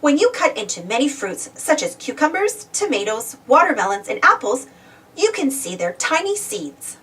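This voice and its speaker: High, very animated woman reading a storybook. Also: moderate pace, far from the mic. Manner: expressive, simple delivery for young children. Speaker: storybook reader. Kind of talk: scripted read-aloud children's storybook narration.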